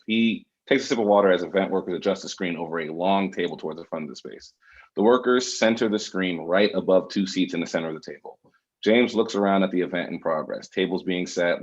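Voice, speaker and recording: Screenplay narrator, male, very low and husky, reading scene directions. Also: highs cut off. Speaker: reader of stage directions.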